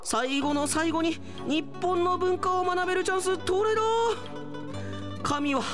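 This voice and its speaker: A man's voice: very high voice, unhurried, over music, pitched above the performer's own voice. A man acting in a radio drama as a proud, naive, hot-headed alien investigator, speaking in an earnest, melodramatic way. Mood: excited.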